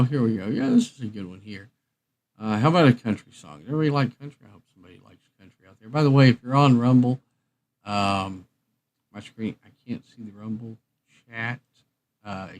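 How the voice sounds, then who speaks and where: A man hosting a casual livestream, rambling and thinking aloud as he works through what to play next. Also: mid-range voice. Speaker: livestream host.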